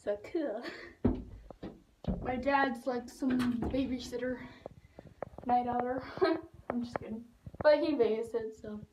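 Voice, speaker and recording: A woman's voice: fairly high pitch, takes it slowly, pauses often. A teenage woman vlogging casually to her phone at arm's length, rambling and giggling with lots of fillers, outdoors by a treehouse. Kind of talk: casual vlog talk to camera. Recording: noisy phone audio outdoors.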